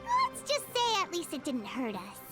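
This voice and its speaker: An extremely high, squeaky little fairy girl for a video game. She is excitable and easily indignant, her pitch swooping wildly, with loud music behind. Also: moderate pace. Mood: curious. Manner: squeaky, blurts, speaks of herself in third person. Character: tiny excitable childlike female fairy companion, indignant. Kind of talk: scripted game cutscene dialogue.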